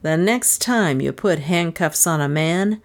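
A woman's voice: deep voice, animated, measured pace. A woman reading a sneering hard-nosed detective. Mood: hostile. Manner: jeering, forceful. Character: fierce sneering male detective.

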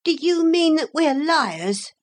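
A young storybook boy, voiced by a woman, earnest and blunt. The pitch sits high and moves around a lot. He sounds plain-spoken and easily indignant. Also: sing-song, measured pace, darkish tone. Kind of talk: storybook character voice.

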